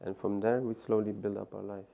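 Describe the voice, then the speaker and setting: A man with a very low, gravelly voice, dark in tone. He talks hesitantly as an interview guest, with lots of fillers and restarts. The sound is muffled and lo-fi. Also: flat delivery, fairly quick. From Afghanistan. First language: Farsi. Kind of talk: personal story interview.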